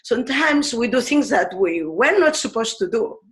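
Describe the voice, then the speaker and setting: A woman in her fifties from Spain with a Spanish accent, a teacher explaining ideas in a thoughtful way, pausing often with fillers. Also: on the high side, animated, a bit bright. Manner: thoughtful, pauses with many fillers. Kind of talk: explanatory talk on pedagogy.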